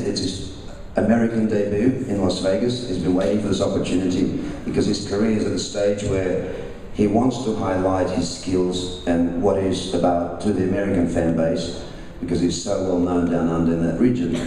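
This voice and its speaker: Low-voiced man in an echoey hall. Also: dark tone, slight background noise. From Russia, raised in Australia. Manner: halting, restarts sentences, formal introductions. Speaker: boxing promoter. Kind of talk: press conference announcing. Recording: echoey hall, mic far off.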